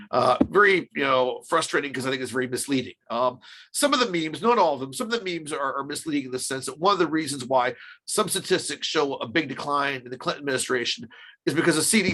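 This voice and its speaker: Fast-talking man explaining research. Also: medium pitch, fairly bright tone, rough recording. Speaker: podcast guest, research scholar. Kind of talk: interview commentary, explaining statistics.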